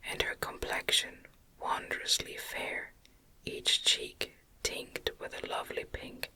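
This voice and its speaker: Female ASMR audiobook reader: unhurried, soothing whisper throughout, breathy and unvoiced. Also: low-quality recording. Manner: gentle, soothing, deliberate. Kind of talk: ASMR whispered novel reading.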